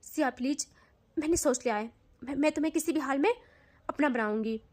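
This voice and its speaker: A woman's voice: high voice, fairly quick, darkish tone, natural voice. A woman voicing a hot-tempered, possessive young woman who talks intensely and stammers when she pleads. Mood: obsessive.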